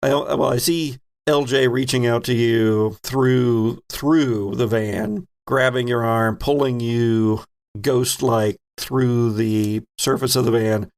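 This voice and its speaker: A man's voice: mid-range voice, fairly even intonation, takes it slowly. A man narrating a tabletop game as game master in a casual, improvised and playfully teasing way.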